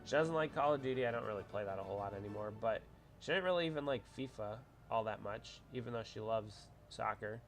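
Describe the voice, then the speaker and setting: A man's voice: fairly low pitch, animated, quick pace, faint music behind. A man hosting a casual livestream, congested from a cold, rambling as he chats with viewers. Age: adult with a wife. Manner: chatty, rambling, reads out viewer comments. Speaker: livestream host.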